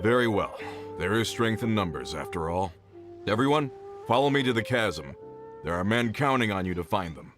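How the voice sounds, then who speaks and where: A man's voice: on the low side, expressive, moderate pace, over music, close to an everyday voice. An actor playing a weary, dutiful older mine foreman in a video game, terse and grave in manner. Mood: resolute.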